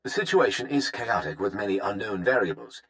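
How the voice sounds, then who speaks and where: A polished corporate schemer for a video game. His voice sits fairly low and moves through very lively swings of pitch. He talks in formal, wordy turns, smoothly dodging blame. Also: fairly quick. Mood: businesslike. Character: smooth, evasive, self-justifying male corporate executive, schemer. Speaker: corporate research executive character. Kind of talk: video game cutscene acting.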